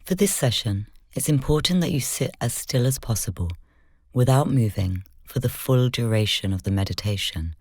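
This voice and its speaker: A meditation guide speaks at a medium pitch in a gentle, measured way. The delivery is soft and instructional, with breathy, whispery touches. The recording is close and dry, free of room sound. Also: lively intonation, no room sound.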